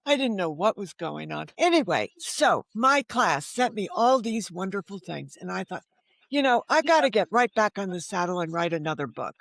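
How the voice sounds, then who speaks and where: A woman's voice: medium pitch, big pitch swings, moderate pace, gravelly, fairly bright tone, dry recording. An older woman telling her own story candidly as an interview guest, frank, wry and self-deprecating, often quoting what people said. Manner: quotes dialogue while telling stories. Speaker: podcast guest, novelist.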